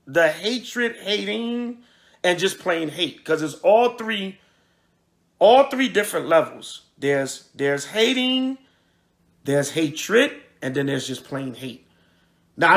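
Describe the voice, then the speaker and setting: A man giving an informal preaching address as a religious leader, speaking emphatically with repetition and halting restarts. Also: a little high, vocal fry, a bit bright. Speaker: priest and religious group leader. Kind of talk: livestreamed address mixing preaching and informal talk.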